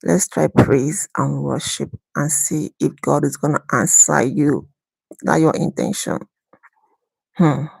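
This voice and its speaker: A woman's voice: low-pitched, fairly even intonation, slow, gravelly, a little mellow, breathy edge, no echo, rough recording. A woman hosting a phone livestream and chatting casually and earnestly, repeating herself between worship songs. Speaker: livestream host.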